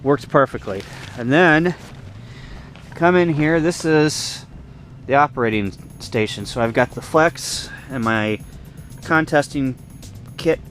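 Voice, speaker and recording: A man's voice: mid-range voice, slow, a bit bright. Man giving a casual walkthrough over music. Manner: informal, explanatory, thinking aloud. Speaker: ham radio hobbyist giving a video tour. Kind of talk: casual unscripted walkthrough of a camp setup. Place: outdoor campsite.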